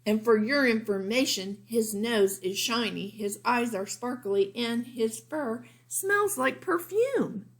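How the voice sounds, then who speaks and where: A woman's voice: a little high, lively intonation, slow pace, few pauses, pitched above the performer's own voice. A woman voicing a bossy, jealous little girl mouse in a children's storybook, with an emphatic, theatrical delivery. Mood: indignant, proud. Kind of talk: read-aloud children's storybook.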